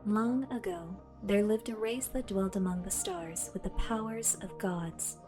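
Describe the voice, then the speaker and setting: A woman voicing a calm, all-knowing female artificial intelligence that lives in a hero's belt, speaking in a measured, formal way. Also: medium pitch, lightly acted. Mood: solemn. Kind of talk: fan audio drama. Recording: music bed underneath.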